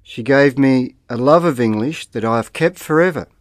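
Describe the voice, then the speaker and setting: A man reading scripted model interview answers aloud in a slow, carefully enunciated way, like a language course recording. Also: medium pitch, dry recording, studio quality.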